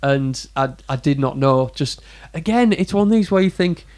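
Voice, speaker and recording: A man's voice: on the high side, lively intonation, moderate pace, darkish tone. A man co-hosting a podcast, talking casually and wryly about films. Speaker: podcast co-host. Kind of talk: casual film discussion.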